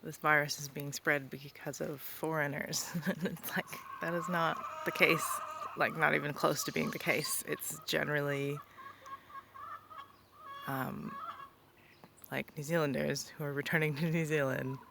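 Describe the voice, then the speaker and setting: Young female vlogger, casual and rambling, a fry-edged voice, on a noisy outdoor recording. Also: low voice, flat delivery, unhurried. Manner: rambling, many ums and likes. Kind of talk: casual vlog talk. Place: rural property outdoors, birds around.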